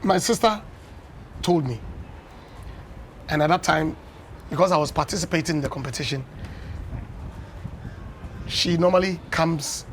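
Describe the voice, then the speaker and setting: A Ghanaian man in his fifties, a former dance champion, tells unhurried stories as a guest on a breakfast TV interview, often adding "you know.". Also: a little high, a little hiss or hum. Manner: anecdotal storyteller, unhurried with many "you know"s. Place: television studio.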